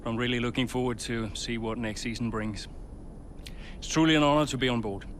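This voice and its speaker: A man playing an earnest racing team principal and mentor, speaking in a measured, thoughtful, hesitant way. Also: mid-range voice, a little hiss or hum, natural voice. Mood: grateful. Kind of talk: documentary interview and speech.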